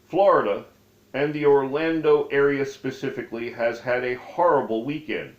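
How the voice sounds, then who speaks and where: A man reading aloud from his own memoir as its author, with deliberate, halting phrasing. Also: mid-range voice. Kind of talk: read-aloud memoir narration. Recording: dull, echoey room recording.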